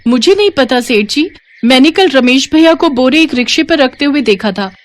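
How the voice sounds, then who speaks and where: Woman voicing an earnest young wife, animated pitch. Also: normal speed. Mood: anxious. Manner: polite, earnest. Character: devout, hardworking, earnest young wife and shop worker.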